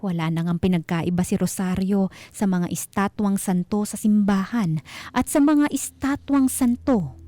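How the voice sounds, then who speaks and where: A woman tells a scripted horror tale in a dark, mellow voice at a middling pitch. Her phrasing is deliberate and suspenseful, as a radio storyteller. The sound is dry and close, with no room around it. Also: no echo.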